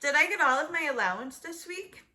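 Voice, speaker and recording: A woman's voice: high-pitched, expressive, brisk, pushed and bright, voice pushed up, exaggerated voice. A woman voicing an eager, literal-minded young girl in a children's storybook, exclaiming and asking questions. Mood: hopeful, curious. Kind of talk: children's storybook read-aloud.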